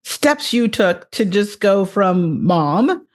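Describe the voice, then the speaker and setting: A woman's voice: mid-range voice, big pitch swings, slow pace. A woman hosting a podcast interview, reacting with enthusiastic affirmations and drawing out her guest. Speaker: podcast host.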